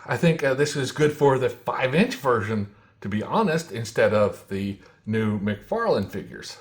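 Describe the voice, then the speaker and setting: A man giving a casual, unhurried product review, talking in short phrases with pauses and repeating himself. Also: mid-range voice, very animated, breathy edge. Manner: unhurried, repetitive, pausing between short phrases. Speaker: toy reviewer, host.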